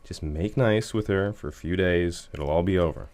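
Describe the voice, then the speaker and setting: An actor playing a cautious, put-upon son of a rich family in an audio drama, placating and exasperated in manner. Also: male voice, low-pitched, normal speed, darkish tone, lightly acted.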